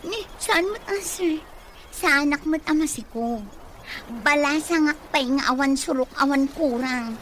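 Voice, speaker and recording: A woman's voice: sits high, a little slow, a bit bright, low-quality recording. A woman acting a vain, dreamy, easily offended young office worker in a radio comedy drama, with an Ilocano accent. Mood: indignant. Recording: clear background noise.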